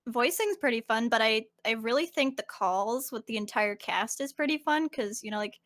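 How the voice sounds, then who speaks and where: A woman's voice: fairly high pitch, moderate pace, a bit bright, dry recording, studio quality. A woman who does amateur voice acting, telling rambling, giggly anecdotes in a casual group chat. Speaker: amateur voice actor in a comic dub.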